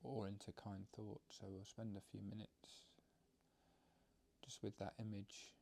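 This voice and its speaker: Slow, low, creaky-voiced man guiding meditation. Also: little pitch movement, slight background noise. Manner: halting, unscripted, gentle explaining. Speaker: meditation teacher. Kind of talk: guided meditation instruction.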